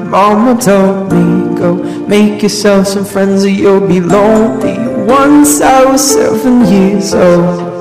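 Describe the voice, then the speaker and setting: A woman's voice: medium pitch, slow pace. A woman singing a reflective pop song cover. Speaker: singer. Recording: echoey, with loud music backing.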